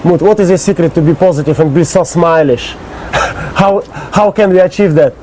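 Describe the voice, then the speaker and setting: A Russian-accented man with a warm, mellow, somewhat high voice asks questions in halting English. He sounds nervous and laughs between his lines. Faint outdoor noise sits behind him. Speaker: interviewer, host. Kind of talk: casual interview in second-language English. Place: outdoors on an island.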